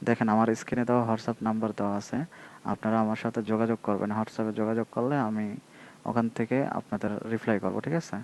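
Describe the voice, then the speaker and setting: A man with a Bangladeshi accent giving a casual, repetitive sales pitch and tutorial, often checking in with short tag questions. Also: little pitch movement, fast talker, a little mellow, a little hiss or hum. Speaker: online seller presenting a product demo.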